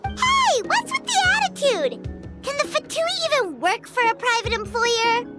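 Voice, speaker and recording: A little fairy girl from a fantasy game, chirping in a very high, childlike squeak. The delivery is blunt and excitable, bouncing up and down in pitch over loud background music. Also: moderate pace. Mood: indignant. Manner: exclamatory, cheeky. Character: small chatty floating fairy girl companion, excitable and blunt. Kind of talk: animated video game voice acting.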